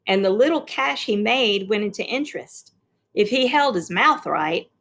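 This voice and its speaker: A woman narrating a novel as a read-along, stepping in now and then as a teacher to explain. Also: mid-range voice.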